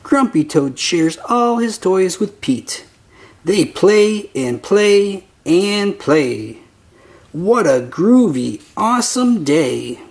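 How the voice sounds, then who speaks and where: An adult reading a picture book aloud in an expressive narration style meant for young children. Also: medium pitch, drawn-out words. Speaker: children's storybook reader.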